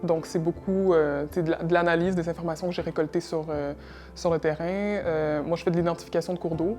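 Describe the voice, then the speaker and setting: A woman's voice: lowish voice, fairly even intonation, brisk, a little mellow, faint music behind. A young woman from Russia, answering interview questions about her work in a hesitant way, with many fillers and repeated words. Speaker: interview guest, environmental technician.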